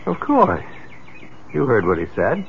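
A man's voice: medium pitch, huge pitch swings, unhurried, gravelly, full character voice. An actor playing a rattled, defensive man haunted by visions, agitated and prone to stammering, in a 1970s radio drama. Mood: uneasy, evasive. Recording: tinny, noisy 1970s radio broadcast.

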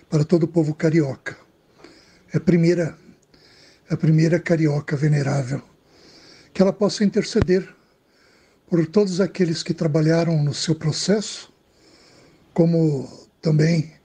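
A man, a bishop, giving a solemn and measured recorded message. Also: a little high, warm tone, slightly rough.

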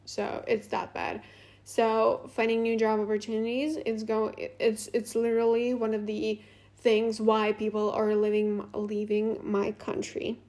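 Young Russian-native woman from Latvia, solo podcast host: unhurried, a touch high, loose and rambling. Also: monotone, darkish tone. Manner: rambling, many fillers and restarts. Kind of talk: casual unscripted monologue.

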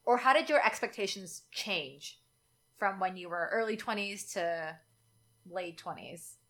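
Bright-voiced chatty young woman. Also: mid-range voice, animated, unhurried. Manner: chatty, candid, laughs often. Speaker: podcast co-host. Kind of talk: casual chat.

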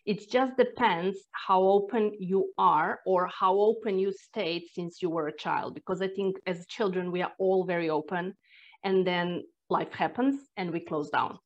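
A woman's voice: fairly low pitch, measured pace. Earnest Croatian-accented woman, dull sound. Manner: reflective, earnest, with sighs and restarts. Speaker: energy therapist, guest. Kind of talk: personal storytelling in conversation.

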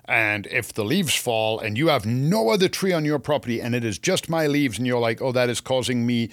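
A man from England chats as co-host of a gardening show. His voice is medium in pitch and recorded dry and close. He is opinionated and casual, acting out little quotes as he tells stories. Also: lively intonation, fairly quick, a bit bright, dry recording.

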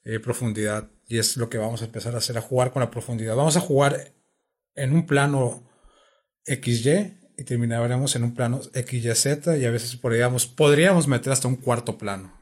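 A man's voice: medium pitch, a little fast. A man, a guest sound engineer in a music studio, patiently explaining synthesizers and sound, repeating and restarting words as he thinks.

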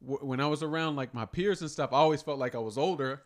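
A man's voice: fairly high pitch, fast. Thirties guy on a podcast, chill and thoughtful, cusses a lot. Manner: reflective, easygoing, swears freely. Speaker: podcast co-host. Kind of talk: casual chat.

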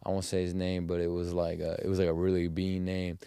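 A man's voice: fast, smooth, dry recording, clean studio sound. A young man chatting casually on a podcast, telling stories with lots of slang. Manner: slangy, animated storytelling, jumps into high mock voices when quoting. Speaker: podcast host.

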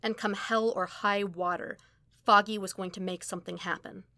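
A woman reading a fan story aloud in a steady, even storytelling manner. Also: mid-range voice, moderate pace, no room sound. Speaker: podfic reader. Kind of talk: scripted narration of a fan story.

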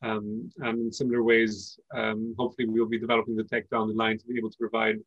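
A man who is a native Persian speaker, answering interview questions as a company founder in a conversational, explanatory way with pauses mid-phrase. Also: on the low side, completely flat delivery, dry recording, dull audio.

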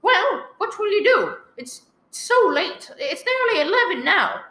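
A woman playing a nervous, demanding bedridden wife in an old-time radio thriller, agitated and stammering with shrill complaints. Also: big pitch swings, unhurried, pushed and bright, heavily acted. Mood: anxious pleading. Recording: roomy, echoing live recording.

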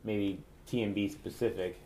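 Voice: sits low, fairly even intonation, moderate pace, mellow. An interviewer asking casual, slightly tentative questions in a conversational way.

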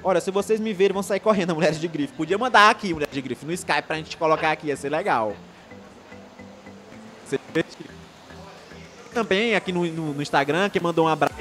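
A man's voice: a little high, brisk, pauses often, a bit bright, faint music behind. A young man from Maranhão with a Maranhão accent hosting a live online show, chatting casually, reading viewer comments and often restarting his sentences. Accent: Maranhão Brazilian Portuguese. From Maranhão, Brazil. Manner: rambling, many restarts, chatty and upbeat. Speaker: live stream host.

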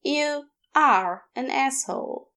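Wry, needling nonbinary neighbour for story audio, female performer: dry delivery, measured pace, raised pitch. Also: lively intonation, pushed and bright, heavily acted. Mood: pointed. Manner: dry, teasing, pointed.

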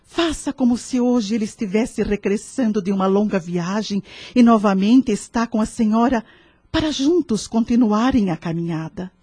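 An actress playing a wise, serene woman who gives kindly spiritual counsel in a radio drama, speaking in a measured, earnest, preachy way. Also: mid-range voice, mellow, husky, dry recording, natural voice. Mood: gently persuasive.

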